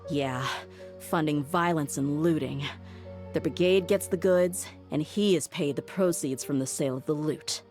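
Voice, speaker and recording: A woman voicing a tough young female mercenary in a game cutscene, steady and loyal, thoughtfully reasoning things out aloud. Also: deep voice, measured pace, faint music behind.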